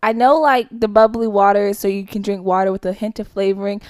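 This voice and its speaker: A woman's voice: mid-range voice, fairly even intonation, a little fast, a little mellow, no room sound. A young woman co-hosting a casual podcast chat, playful and emphatic. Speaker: podcast co-host.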